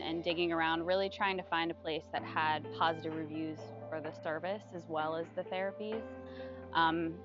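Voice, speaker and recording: Young woman chatting about her stay, kinda low voice, lots of ums and little laughs, music playing behind. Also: lo-fi sound. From United States. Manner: chatty, with fillers and soft laughs. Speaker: retreat guest giving a testimonial. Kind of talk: casual testimonial interview. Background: background music.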